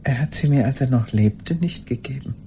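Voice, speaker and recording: An actress playing an old woman haunted by a lost love, speaking in a halting, intimate radio drama monologue. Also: extremely low pitch, dark tone, slight background noise. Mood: haunted, hushed. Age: older. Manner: halting, intimate, sometimes dropping to a whisper.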